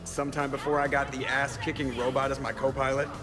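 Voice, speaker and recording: An actor playing a wisecracking, defensive starship pilot in a video game, with sarcastic delivery. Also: male voice, mid-range voice, level tone, fast, music in the background, lightly acted.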